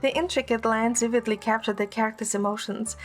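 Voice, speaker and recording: A woman's voice: fairly high pitch, steady tone, brisk, faint music behind, natural voice. A woman playing a wry, affectionate young heroine who narrates her own romance in an audio drama. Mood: narrating. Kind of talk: first-person narration and dialogue in audio drama.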